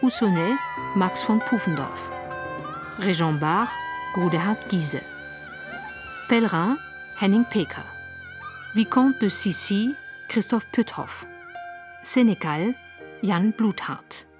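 A woman's voice: medium pitch, expressive, takes it slowly, long pauses. A woman reading scripted radio play credits as a broadcast announcer. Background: music.

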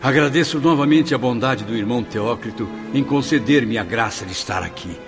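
A man's voice: medium pitch, brisk, natural voice. An actor playing a humble, awestruck spirit who narrates his memoirs in a radio drama, earnest and reflective. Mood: reverent. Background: music.

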